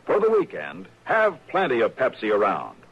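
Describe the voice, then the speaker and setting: A man's voice: medium pitch, huge pitch swings, normal speed, muffled recording, over loud music. A man delivering a breezy, persuasive 1950s radio commercial. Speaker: radio ad announcer. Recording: husky old radio recording.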